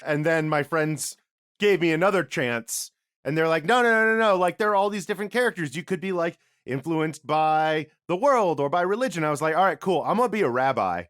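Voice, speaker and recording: A man's voice: fairly high pitch, expressive, a little fast, fairly bright tone, clear, resonant tone, dry recording, studio quality. A man in casual podcast chat, telling a joking story in a playful way. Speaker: podcast cast member.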